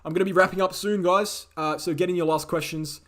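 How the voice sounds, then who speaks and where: A man hosting a live question-and-answer stream, speaking in a casual, opinionated way, repeating key phrases for emphasis, his voice slightly croaky. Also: high-pitched, fairly even intonation, quick pace. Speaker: host answering viewer questions. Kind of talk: casual livestream Q&A.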